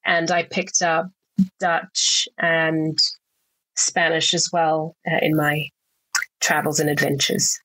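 A woman from Zimbabwe, an actuary and board member, giving measured, articulate answers as a podcast guest over a remote call. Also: sits low, level tone, fairly bright tone, poor audio.

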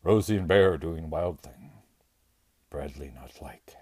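A man voicing a dim-witted, hulking circus strong man who talks in a simple, blustering way and often shouts. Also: sits low, a little slow, dry recording.

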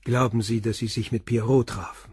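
An actor playing a calm police inspector who puts terse, probing questions in a radio crime drama. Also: male voice, mid-range voice, normal speed, slightly rough, no room sound, clean studio sound.

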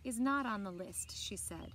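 A woman in her thirties reading a children's picture book aloud expressively, with deliberate phrasing and dramatic emphasis. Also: mid-range voice, expressive, fairly bright tone. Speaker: library story time reader. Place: outdoors on a lawn by trees. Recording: outdoor background noise with birdsong. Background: outdoor ambience, birds.

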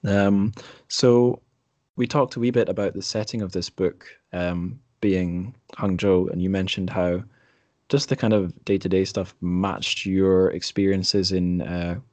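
Deep-voiced man hosting. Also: fairly even intonation, a little slow, a little mellow, dry recording. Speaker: podcast host. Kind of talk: casual interview chat.

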